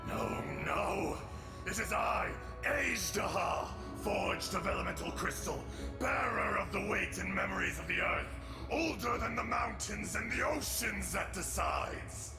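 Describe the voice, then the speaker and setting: An ancient raging dragon, gravelly. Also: male voice, high-pitched, lively intonation, unhurried, over loud music, full character voice. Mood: wrathful. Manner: defiant, bellowing, full of grievance. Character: ancient enraged dragon, huge and proud. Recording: roomy reverb on the voice.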